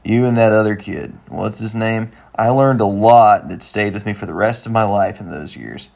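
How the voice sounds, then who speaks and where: A man voicing a blunt, sentimental middle-aged father in an animated comedy-drama, talking in a folksy, rambling way. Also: on the low side, normal speed, creaky. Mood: nostalgic.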